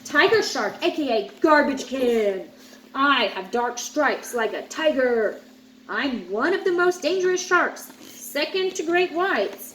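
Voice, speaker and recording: A woman voicing proud sharks who explain themselves in a children's storybook, in a measured manner. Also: high voice, expressive, a bit bright, slight background noise, heavily acted, poor audio.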